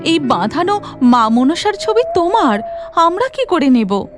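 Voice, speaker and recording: Cartoon village wife, done by a woman narrator: very high, devout, emotional, exclaiming, music underneath. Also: unhurried, full character voice. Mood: earnest. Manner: expressive, exclaiming.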